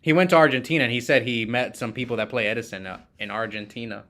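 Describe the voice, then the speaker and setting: A man's voice: medium pitch, quick pace, a bit bright. A man casually commentating a card game he is playing live, thinking aloud and chatting with viewers as he goes.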